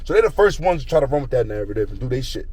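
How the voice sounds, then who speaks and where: A man's voice: medium pitch, big pitch swings, racing, darkish tone, slightly rough. Rambling street storyteller, slangy man. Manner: slangy, rambling, repeats a catchphrase. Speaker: rapper telling a story.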